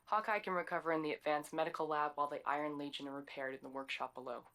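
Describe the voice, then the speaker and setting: A woman playing a calm, wry narrator-guide in a superhero video game, giving scripted narration. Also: low voice, quick pace.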